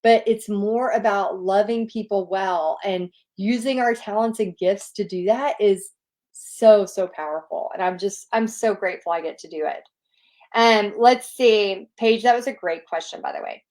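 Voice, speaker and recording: A woman's voice: medium pitch, normal speed, a little mellow. Upbeat encouraging woman with a Southern accent. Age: adult, nearly two decades of experience. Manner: upbeat, encouraging, chatty with frequent "like" and laughs. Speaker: paint party instructor hosting a Q&A. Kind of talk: casual live Q&A, reading viewer questions.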